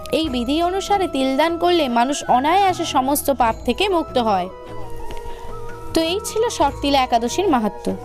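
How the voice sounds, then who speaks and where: A woman reading a scripted religious tale as a storyteller, in a formal, measured way. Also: high voice. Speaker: devotional storyteller. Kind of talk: scripted religious narration for cartoon video. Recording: music under the voice. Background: background music.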